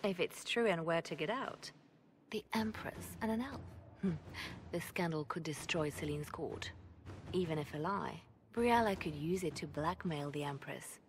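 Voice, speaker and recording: Low-voiced, calculating spymaster. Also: female voice, animated, moderate pace, slight background noise. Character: composed, secretive female spymaster advising a commander. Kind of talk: dramatic game cutscene dialogue.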